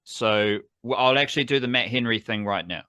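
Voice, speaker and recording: Bright-voiced New man, close and dry. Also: mid-range voice, brisk, smooth, dry recording. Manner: enthusiastic, rambling, self-correcting. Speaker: podcast host. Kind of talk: casual sports podcast chat.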